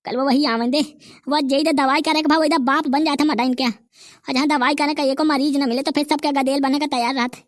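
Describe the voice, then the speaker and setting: A woman's voice: high voice, steady tone, a little fast, no echo, clean studio sound, lightly acted. A woman voicing Nanku, a boastful old village grandfather, with a Bundelkhandi accent and rustic, bragging rants in a cartoon comedy. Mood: grumbling.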